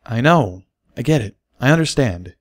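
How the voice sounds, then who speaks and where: A man's voice: mid-range voice, lively intonation, moderate pace, no echo, clean studio sound, pitched above the performer's own voice, close to an everyday voice. A man voicing a nervous, earnest male pony, an awkward suitor who speaks hesitantly, in a storybook reading. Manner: hesitant, stammering.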